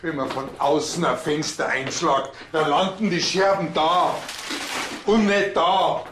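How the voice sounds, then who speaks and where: A man's voice: fairly high pitch, fairly even intonation, measured pace, noisy background, close to an everyday voice. An actor playing a stubborn, pedantic policeman with a Bavarian accent, earnest and insistent. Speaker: actor in TV crime comedy.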